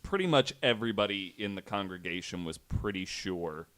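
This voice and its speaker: A man hosting a podcast, telling a story in a casual, halting, informal way with some swearing. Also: sits low, expressive, a bit bright. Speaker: podcast host.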